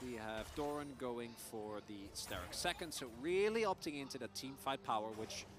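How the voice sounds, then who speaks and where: A man from the Netherlands, a native Dutch speaker, commentating live on an esports match with animated, wry banter. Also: mid-range voice, moderate pace. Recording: music behind the voice. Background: music.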